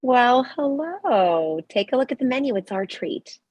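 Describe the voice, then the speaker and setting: A woman's voice: high-pitched, very animated, unhurried, darkish tone, slightly rough, dry recording, heavily acted. A woman playing a chatty, eager, oblivious middle-aged mother in a comedy script table read. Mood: gracious.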